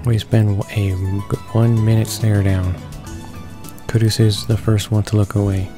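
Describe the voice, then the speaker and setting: A man reading visual novel text aloud, halting and stumbling over words. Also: fairly low pitch, little pitch movement. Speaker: gamer reading along. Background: game music.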